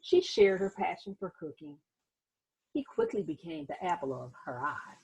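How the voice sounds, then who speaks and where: Woman, very husky breathy voice, lively swooping intonation, mellow tone, children's read-aloud host, expressive storyteller. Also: lowish voice, normal speed, low-quality recording. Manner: animated storyteller addressing young listeners, with asides.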